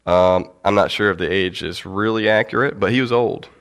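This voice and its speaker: Deep-voiced man teaching with a cold. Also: gravelly, a bit bright, clear, resonant tone. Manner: casual, halting, with fillers and light laughs. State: has a cold. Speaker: church teacher. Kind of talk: informal Bible teaching.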